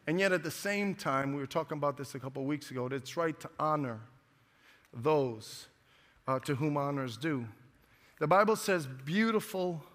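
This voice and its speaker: A man's voice: medium pitch, fairly bright tone. Male pastor addressing his congregation. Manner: conversational, calls for congregation response. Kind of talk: sermon introduction. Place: church sanctuary. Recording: echoey church hall.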